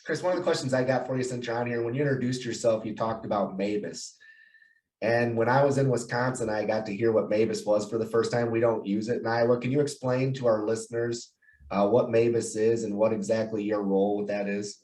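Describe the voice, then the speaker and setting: A man's voice: lowish voice, fairly even intonation, brisk, a little mellow, low-quality recording. An American man hosting a podcast, asking questions in a casual, conversational style. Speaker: podcast host. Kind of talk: casual interviewing.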